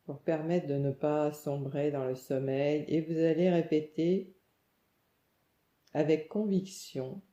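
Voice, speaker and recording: A woman leads a guided relaxation meditation, calm and soothing, giving gentle instructions in short phrases with pauses. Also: deep voice. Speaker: yoga nidra meditation guide. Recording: muffled, echoey room sound.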